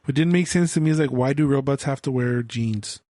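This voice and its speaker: A man's voice: medium pitch, fairly quick, dark tone, no echo, studio quality. A man co-hosting a casual podcast, losing his voice and coughing now and then, blunt and swearing freely. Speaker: podcast co-host. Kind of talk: casual chat.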